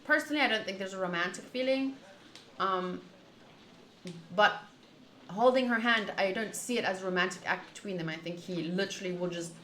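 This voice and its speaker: A woman with a bright, crisp-edged voice does casual visual novel commentary, switching between reading aloud and chatting. The sound is roomy and echoey, with faint noise behind her. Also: mid-range voice, a little fast. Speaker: streamer playing a visual novel.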